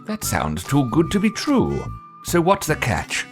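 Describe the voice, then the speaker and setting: A man's voice: on the high side, wildly swooping pitch, unhurried, over music. A man voicing a sardonic, fussy pet dog in a cartoon, with a dry, wisecracking manner. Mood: dryly curious. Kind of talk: animated comedy dialogue.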